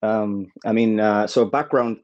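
A man from Zambia, a guest in a casual interview on a call, talking haltingly with many fillers and restarts. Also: on the low side, no echo. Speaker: guest, former footballer. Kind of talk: casual interview over phone. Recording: dull lo-fi phone-call audio.

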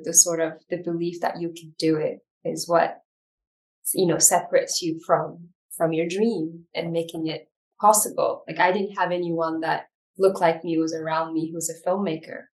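Reflective woman from Ethiopia, slightly distant. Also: fairly low pitch. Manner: reflective, frequent fillers and restarts. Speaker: guest, documentary filmmaker. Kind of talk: conversational interview answers.

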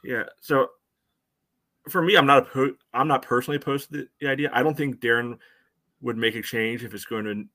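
A man's voice: mid-range voice, fairly even intonation, brisk, pushed and bright. A man giving casual sports analysis as a podcast co-host, conversational and peppered with "you know".

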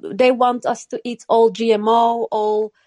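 A woman from Morocco, raised in the Netherlands, talks earnestly in a casual conversation. She repeats herself and restarts phrases often. Her voice sits a little high, and the sound is dry and close. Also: level tone, unhurried, dark tone, dry recording. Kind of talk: casual chat.

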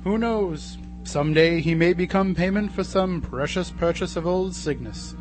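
An actor playing a sardonic, gleefully macabre narrator and announcer in old-time radio horror style, theatrical and wry. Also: male voice, high voice, moderate pace, music in the background, lightly acted.

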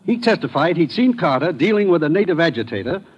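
An actor playing a businesslike commissioner, brisk and authoritative, in 1950s radio drama style. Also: male voice, fairly high pitch, sing-song, breathy edge. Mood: serious, commanding. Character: brisk, businesslike male commissioner briefing his agent. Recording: dull old radio recording.

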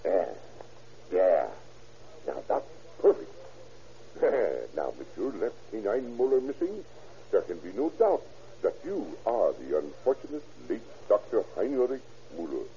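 A man's voice: fairly low pitch, wildly swooping pitch, unhurried, mellow, full character voice. An actor playing a scheming, gloating German doctor who murders his friend, with a German accent and a cackling laugh, in an old radio drama. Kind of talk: 1940s radio acting. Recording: muffled old radio recording with faint hiss.

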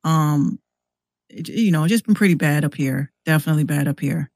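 A woman's voice: low voice, fairly quick, creaky, a little mellow, no echo, studio quality. An American woman chatting casually as a podcast guest, informal and a bit hesitant, often repeating words. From New York City, United States. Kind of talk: casual conversation.

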